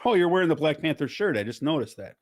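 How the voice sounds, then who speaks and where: Animated joking male podcast host. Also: on the high side, fast. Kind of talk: casual podcast chat.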